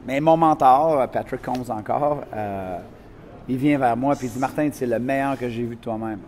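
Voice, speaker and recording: A man's voice: medium pitch, moderate pace, slight background noise. A man with a Quebec French accent, a motivational speaker telling stories in a casual chat and acting out scenes and quotes. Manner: animated, acts out scenes and quotes.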